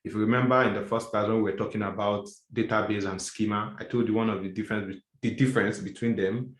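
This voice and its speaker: A man's voice: fairly low pitch, steady tone, quick pace. Man teaching a class in an echoey room. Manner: explanatory, repeats and rephrases points. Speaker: instructor. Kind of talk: classroom lecture.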